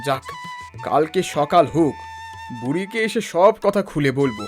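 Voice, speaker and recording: A performer voicing a helpful, concerned village man in a children's cartoon, earnest and expressive in a familiar way. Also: medium pitch, moderate pace, faint music behind, natural voice. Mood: indignant. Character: helpful, concerned village neighbour named Ratan, male. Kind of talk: Bengali children's cartoon dialogue.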